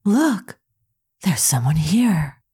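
An actress playing a cool, intelligent heroine with a husky voice in an audio drama. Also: female voice, fairly low pitch, sing-song, extremely slow, mellow, heavily acted. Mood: cool, measured.

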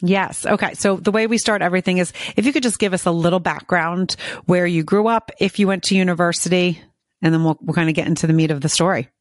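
Eager woman hosting a casual interview podcast, with a lowish voice and a chatty manner. Her voice sounds close and dry, with no room sound. She talks in long, rambling stretches. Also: fairly even intonation, fast talker, no echo. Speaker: podcast host.

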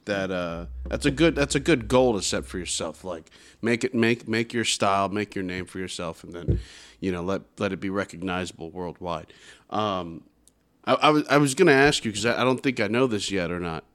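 A man's voice: low-pitched, moderate pace, fairly bright tone. A man hosting an interview who restarts and stammers often as he forms questions. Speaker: podcast host. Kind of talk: casual interview.

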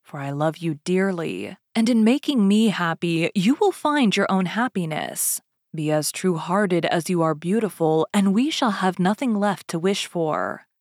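A woman reading a fairy tale voices a young prince, loving and pleading. His words come soft and earnest, recorded close with no room echo. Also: on the low side, animated, unhurried, hardly any pauses. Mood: tender, pleading.

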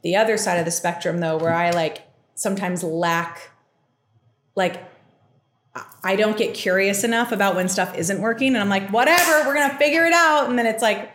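A woman's voice: medium pitch, fairly bright tone, a little hiss or hum. Chatty young woman in a roomy space. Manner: chatty, many "like" fillers, quick asides. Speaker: podcast host giving a reading. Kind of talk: casual explanatory chat. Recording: roomy echo.

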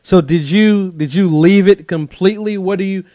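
Man, podcast host, casual, restarts words, says bro, fairly high voice, dry close mic. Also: moderate pace, mellow, no echo. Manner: conversational, uses "bro", stammers restarts when starting questions.

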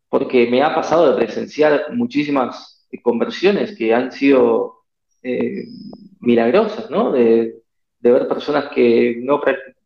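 A man from South America, a missionary, giving earnest, reflective interview answers with frequent pauses and fillers. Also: medium pitch, vocal fry, a little mellow, echoey room, dull audio. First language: Spanish. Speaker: missionary priest.

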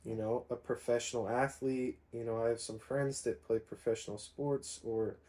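A man's voice: mid-range voice, normal speed. A man in his twenties co-hosting a podcast, talking casually and rambling with lots of fillers. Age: 20s. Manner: relaxed, rambling, many fillers. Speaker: podcast co-host. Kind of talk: casual conversation.

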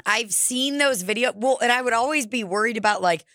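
A woman's voice: on the high side, fairly quick, fairly bright tone, no echo, studio quality. A woman hosting a podcast in casual chat, rambling and jokey with lots of filler words. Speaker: podcast host.

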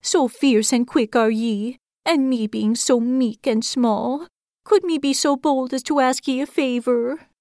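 A woman's voice: high voice, measured pace, darkish tone, no room sound, clean studio sound, voice pushed up. A woman voicing a shy, timid little male hedgehog who pleads meekly in quaint broken grammar. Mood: meek pleading.

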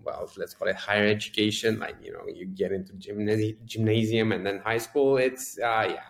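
Romanian founder, 30s, halting and wry, echoey room sound. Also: male voice, fairly low pitch, steady tone, gravelly. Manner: hesitant, many restarts, dry humor. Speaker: startup founder, guest. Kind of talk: casual interview answers.

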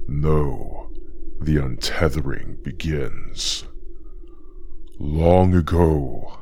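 A man playing a sinister, possessive ancient being of river and forest, narrating an audio drama slowly and ominously in a husky voice. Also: a bit bright, heavily acted. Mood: menacing, intimate. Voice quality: husky, very deep. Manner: slow, deliberate, ominous. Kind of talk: dramatic first-person audio fiction narration.

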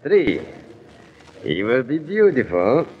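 An actor playing a generous, effusive fruit seller with simple old-world phrasing in an old-time radio drama. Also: male voice, mid-range voice, wildly swooping pitch, slow. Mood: generous, tender. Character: kindly, generous male fruit seller, eager to please. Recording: noisy, dull old radio recording.

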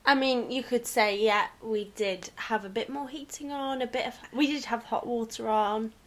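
A woman's voice: a little high, moderate pace. A young woman, chatting at home with her best friend and adding short, dry remarks. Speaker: podcast co-host, best friend. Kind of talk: casual chat between friends. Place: shared home.

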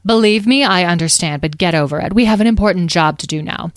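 A woman's voice: lowish voice, fast talker, dry recording, studio quality, natural voice. A woman voicing a bossy, snobbish fairy leader in a storybook, with grandiose, emphatic phrasing and biting wit. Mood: bossy, excited. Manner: grandiose, gushing, emphatic, sarcastic.

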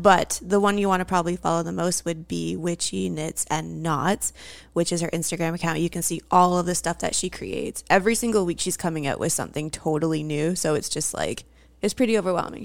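A woman co-hosting a casual podcast, chatty and quick to laugh. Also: lowish voice, creaky, no echo. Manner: giggly, chatty. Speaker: podcast co-host.

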